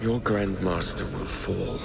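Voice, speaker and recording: A man's voice: low voice, steady tone, slow, vocal fry, heavily acted. An actor playing a cocky, defiant young male assassin in a video game cutscene.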